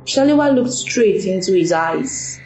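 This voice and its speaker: A woman narrating an audio drama in a plain, steady storytelling manner. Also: mid-range voice, a little slow. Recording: echoey room with music.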